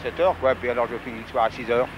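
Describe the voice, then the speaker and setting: A man's voice: mid-range voice, very fast. A man of sixty-five, a delivery worker answering in plain, colloquial, resigned phrases. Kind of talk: spontaneous street interview. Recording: dull 1960s archive, street noise. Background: street and car noise.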